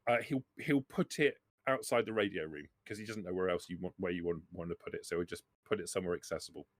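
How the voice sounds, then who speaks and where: A man's voice: low-pitched, quick pace, dry recording. A man speaking casually as game master of a tabletop game, explaining and rephrasing as he goes.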